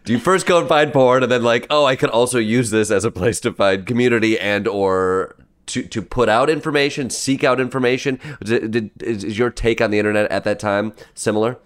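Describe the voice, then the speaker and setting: A male interviewer asking probing questions in a conversational, halting way with frequent restarts. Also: mid-range voice.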